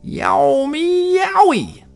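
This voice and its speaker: A man voicing a bold, indignant cat with high, yowling cries in a children's storybook. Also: huge pitch swings, creaky, faint music behind, heavily acted. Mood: triumphant. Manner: exclamatory, drawn-out cries.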